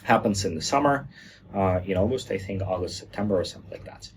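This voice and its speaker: A man's voice: deep voice, fast talker, a little hiss or hum. A man from Germany giving a lighthearted presentation talk, joking along and stumbling over fillers now and then. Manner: jokey, lighthearted, with hesitant fillers.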